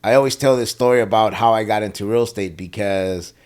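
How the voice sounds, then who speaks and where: A man's voice: on the low side, fast talker, darkish tone. Laid-back, foul-mouthed Salvadoran-born man. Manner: candid storyteller, swears freely. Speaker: podcast guest, real estate professional. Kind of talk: casual podcast conversation.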